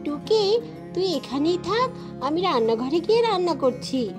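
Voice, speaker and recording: A woman's voice: very high-pitched, sing-song, normal speed. A woman voicing a protective, scolding yet caring mother bird in a children's cartoon, with music behind her. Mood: fussing, caring.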